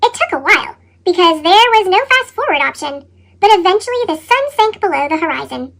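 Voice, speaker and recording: A woman narrates a picture book through a chipmunk effect that pushes her voice extremely high. Her reading swings widely in pitch, with exaggerated, expressive storytelling aimed at children. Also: brisk. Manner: exaggerated, expressive delivery with acted sound words. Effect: sped-up chipmunk pitch shift. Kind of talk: animated read-aloud storytelling.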